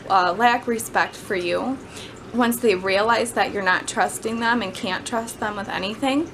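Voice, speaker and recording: A woman's voice: medium pitch, a bit bright. A woman giving an informal student speech about personal experience, speaking in a conversational, somewhat hesitant way. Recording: clearly noisy amateur camera recording.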